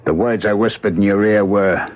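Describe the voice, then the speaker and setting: A man's voice: fairly low pitch, fairly even intonation, normal speed, close to an everyday voice. An American actor playing a desperate man trapped in another man's body in a 1970s radio drama, speaking urgently and insistently. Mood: earnest, urgent. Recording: dull 1970s AM radio broadcast recording.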